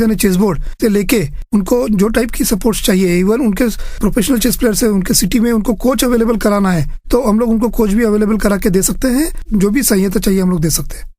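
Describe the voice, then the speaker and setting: A man's voice: high voice, darkish tone, dry recording, studio quality. A man with an Indian accent speaking as an interview guest, explaining his work. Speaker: interview guest, chess organiser. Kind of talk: interview talk.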